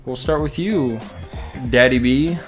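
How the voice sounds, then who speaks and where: A man's voice: medium pitch, measured pace, faint music behind. A man hosting a casual podcast chat, steering the conversation among friends. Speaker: podcast host.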